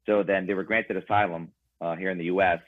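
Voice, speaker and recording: Deep male guest, native Ukrainian speaker, loose and meandering, phone-quality dry audio. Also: fairly even intonation, fast, no room sound. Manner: thoughtful, rambling with fillers. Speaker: band member guest. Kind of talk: casual interview conversation. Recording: tinny phone-like line.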